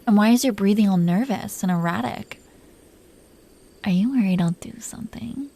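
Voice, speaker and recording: Casting: woman as dragon queen for intimate audio roleplay. Dark, mellow tone; possessive, teasing, secretly tender, soft-spoken and coaxing. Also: mid-range voice, normal speed, gravelly, slight background noise, lightly acted. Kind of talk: intimate roleplay audio drama addressed to the listener.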